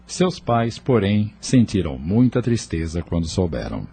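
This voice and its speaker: Expressive, low-voiced male narrator, very mellow. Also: a little slow, slightly rough. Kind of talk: scripted storytelling narration for an audio novel. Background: music.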